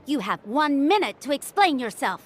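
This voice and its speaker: An actress playing a fussy, exasperated younger sister and dutiful young doctor in a video game, scolding and emphatic. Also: female voice, sits high, big pitch swings, normal speed.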